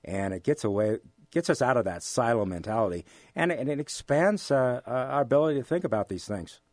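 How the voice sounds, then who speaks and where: An American man being interviewed, speaking in a halting, measured way with formal, academic wording. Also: mid-range voice, expressive, darkish tone, no room sound, clean studio sound. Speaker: interview guest, amateur radio drama actor. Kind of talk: relaxed interview conversation.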